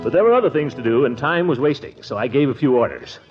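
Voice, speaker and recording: An actor playing a pompous, easily flustered middle-aged uncle who also narrates a 1940s radio comedy in a theatrical, blustering way. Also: male voice, medium pitch, expressive, fast talker, over loud music, close to an everyday voice. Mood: bustling. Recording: muffled vintage 1940s radio broadcast.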